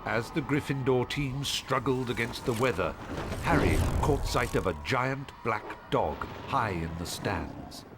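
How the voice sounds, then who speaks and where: An actor giving scripted, dramatic narration as the storyteller of a video game. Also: male voice, medium pitch, unhurried, noisy background, close to an everyday voice. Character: measured, dramatic storyteller man. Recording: music under the voice.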